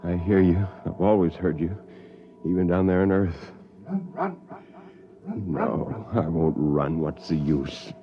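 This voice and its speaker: A bitter renegade astronaut. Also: male voice, sits low, animated, measured pace, long pauses, a little hiss or hum. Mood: brooding. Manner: brooding, bitter, intense. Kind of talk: 1950s radio drama acting. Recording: dull vintage radio broadcast.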